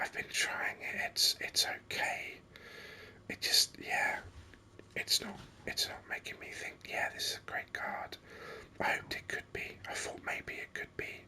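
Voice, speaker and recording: A gaming host speaking in an unvoiced whisper, giving unhurried, rambling ASMR game commentary. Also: sharp tone.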